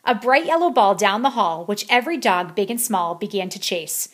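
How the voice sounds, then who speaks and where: A woman's voice: mid-range voice, expressive, moderate pace. A woman reading a children's picture book aloud as a storyteller.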